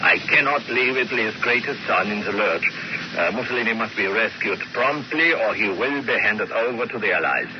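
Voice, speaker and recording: A German commando officer in an old radio play, played by a man. His voice is fairly low, brisk in manner and commanding. The sound is muffled, with clear noise. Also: lively intonation, natural voice. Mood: purposeful, confiding. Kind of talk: old-time radio drama acting. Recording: muffled, noisy vintage radio recording.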